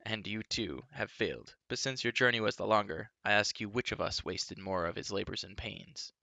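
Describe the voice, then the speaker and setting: A man voicing a proud, bitter young prince who speaks low and hoarse with cold contempt. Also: moderate pace, bright, forceful tone, dry recording.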